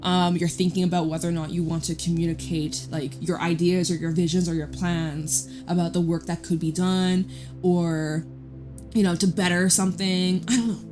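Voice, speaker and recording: A woman giving a casual tarot reading in a loud, chatty voice, rambling off into side stories. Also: lowish voice, little pitch movement, normal speed. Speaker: tarot reader. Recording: guitar music playing behind. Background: guitar music.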